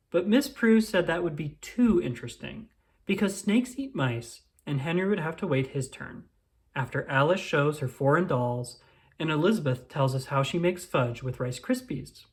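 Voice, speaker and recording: A storyteller reading a children's book aloud in an expressive, deliberate way, drawing out words. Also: mid-range voice. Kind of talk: storybook read-aloud, first-person child narrator.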